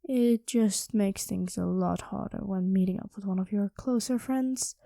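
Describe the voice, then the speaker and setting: A woman's voice: mid-range voice, measured pace, creaky, warm tone, no room sound, clean studio sound. A woman improvising an ASMR roleplay as a playful, possessive dragon queen, coy and intimate, often dropping into whispers. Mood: playful, confiding.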